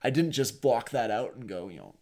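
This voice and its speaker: A man's voice: medium pitch, expressive, fairly quick, warm tone. A man co-hosting a podcast, speaking in a casual, conversational storytelling style with a reflective, earnest manner. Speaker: podcast co-host.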